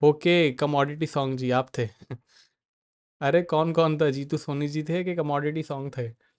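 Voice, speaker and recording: A man's voice: on the high side, fairly even intonation, unhurried, clear, resonant tone, dry recording, clean studio sound. A man with an Indian accent hosting a live market stream, answering viewers by name in a mix of Hindi and English. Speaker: market analyst host.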